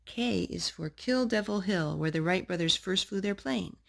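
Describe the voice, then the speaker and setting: A woman with a slightly husky voice reading a children's picture book aloud as a storytime host. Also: medium pitch, normal speed, a bit bright.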